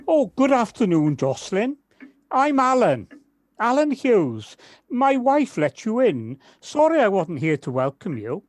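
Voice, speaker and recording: A man's voice: high voice, huge pitch swings, a little slow, no echo, exaggerated voice. Guy acting as a kindly village gardener man, polite and hesitant, really up-and-down voice, audio play. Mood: polite, flustered. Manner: hesitant, polite, stammering slightly. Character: kindly, hesitant village gardener, Alan, modest and nature-loving. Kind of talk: amateur audio drama acting.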